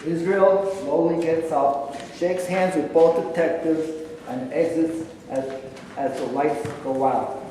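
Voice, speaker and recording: Slow male narrator, very echoey hall. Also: fairly high pitch, steady tone, warm tone. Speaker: stage directions reader. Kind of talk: scripted narration at a staged reading. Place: hall at a staged reading. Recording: very echoey hall, distant mic, clear noise.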